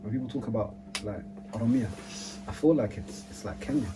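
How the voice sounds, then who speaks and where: A young man chatting casually in a group, slangy and quick in the back-and-forth. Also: fairly low pitch, low-quality recording.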